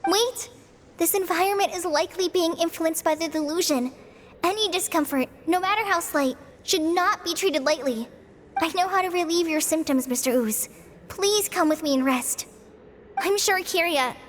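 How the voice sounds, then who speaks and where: A woman voicing a gentle, caring young female healer in a game, speaking softly and earnestly. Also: very high-pitched, moderate pace, in a large hall, a little hiss or hum. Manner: soft, earnest, concerned. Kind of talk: voiced game dialogue.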